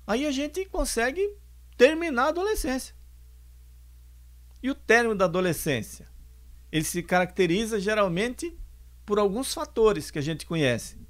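A man's voice: high-pitched, a bit bright. Older man lecturing on family life. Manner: explanatory, repeats key points. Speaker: spiritist lecturer and singer. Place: home studio, bookshelves behind. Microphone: orange foam mic on stand, close.

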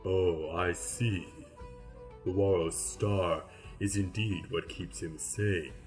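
A man voicing a slow, deep-voiced reaper. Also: steady tone, a little mellow, faint music behind. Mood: explaining. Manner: slow, formal, explanatory. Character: patient male grim reaper ferryman, fussy.

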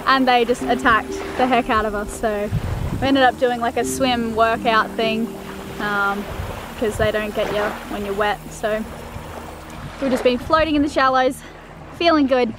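A woman's voice: fairly high pitch, unhurried. A woman, casually chatting and narrating a vlog. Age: 20s. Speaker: vlogger, host. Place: on a sailboat and at the beach. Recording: music behind the voice.

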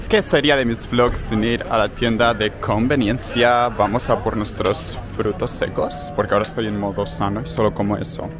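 A young man chatting upbeat and casually to his viewers while walking through the city. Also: mid-range voice. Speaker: vlogger. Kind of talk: casual vlog chat. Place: city streets outdoors. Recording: street noise behind voice. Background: street and traffic noise.

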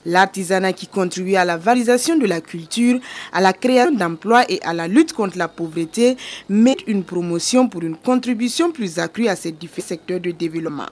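A woman reading a scripted TV report in a formal, even way. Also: medium pitch, a little fast.

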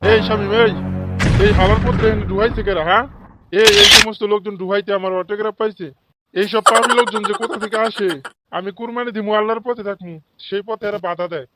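A man's voice: high voice, expressive, quick pace, heavy background noise. A man voicing a corrupt, self-righteous office official in a comic cartoon, with a Bangladeshi regional accent and sanctimonious, pious phrasing. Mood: indignant. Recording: loud music behind the voice. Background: loud music.

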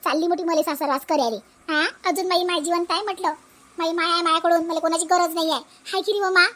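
A woman's voice: very high-pitched, fairly even intonation, fast talker, fairly bright tone. A woman playing a smug, pampered village sister-in-law in a comedy cartoon, taunting boastfully in a Vidarbha Marathi accent. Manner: mocking, boastful.

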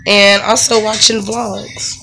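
A woman in her thirties with a raspy voice from the flu, chatting casually to her phone camera at home, with lots of ums. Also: medium pitch, little pitch movement, slow pace, pushed and bright, faint music behind, low-quality recording. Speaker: vlogger, stay-at-home mom. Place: home bedroom, indoors. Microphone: phone camera held close.

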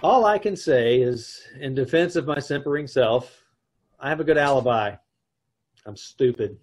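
A man playing a husky, exasperated radio-comedy husband. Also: medium pitch, animated, moderate pace, soft background music. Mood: wry. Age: middle-aged. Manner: dry, incredulous, blustering. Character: exasperated, sarcastic, self-mocking husband and narrator. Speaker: amateur radio troupe actor. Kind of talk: old-time radio comedy acting. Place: home room, on a video call. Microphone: video call.

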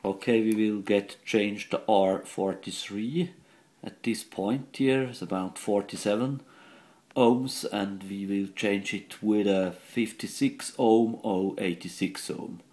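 A man speaks English as a second language in a fairly low voice. He talks very slowly and haltingly, explaining technical work as he does it. His delivery is plain and matter-of-fact. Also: fairly even intonation, a little mellow. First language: not a native English speaker. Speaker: radio repair hobbyist. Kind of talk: hands-on technical demonstration, improvised commentary. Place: indoors at an electronics workbench.

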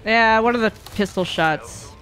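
A woman's voice: on the high side, a little slow, a bit bright, slight background noise, low-quality recording. A young adult woman giving casual, blunt, sweary live-stream commentary while speedrunning a game. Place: small indoor streaming room at home.

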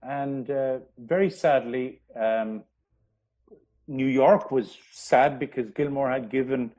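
A man, a historian, telling history in an interview, pausing often with ums and restarting his sentences. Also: mid-range voice, a little mellow. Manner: hesitant, many ums and restarts. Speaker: historian guest.

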